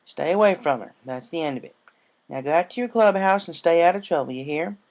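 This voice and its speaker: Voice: mid-range voice, lively intonation, a little fast, a little mellow, voice pushed up, natural voice. A performer voicing an adult female farm pony, stern but caring, with folksy country phrasing, in a cartoon fan story.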